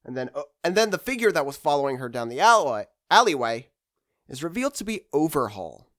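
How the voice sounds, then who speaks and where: A man's voice: a little high, sing-song, quick pace, fairly bright tone. Rambling man in casual fan commentary. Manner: rambling, hesitant, losing his train of thought. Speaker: anime discussion video host.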